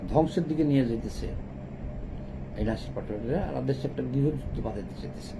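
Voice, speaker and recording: A man's voice: medium pitch, background noise. A middle-aged man with a Bangladeshi accent gives impromptu political commentary, emphatically and in short broken phrases.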